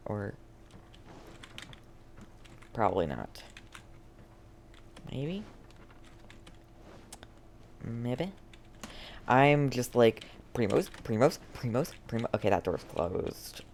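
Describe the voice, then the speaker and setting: Streamer reacting casually to a game, slow n creaky, little bit of noise and typing. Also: mid-range voice, big pitch swings, a little mellow.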